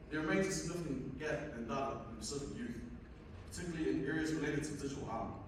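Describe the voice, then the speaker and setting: A young man from Fiji with a Fijian accent gives a conference presentation as a student researcher, reading in a halting way with stumbles. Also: a little high. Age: young adult. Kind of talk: conference presentation, partly read from notes. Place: indoor conference room at a university. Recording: echoey hall, distant mic, noisy room.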